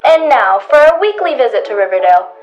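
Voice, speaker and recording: A woman's voice: a little high, very animated, fairly quick, muffled recording, soft background music. A young woman reading scripted narration as the announcer of an old-time radio show. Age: teenager or young adult.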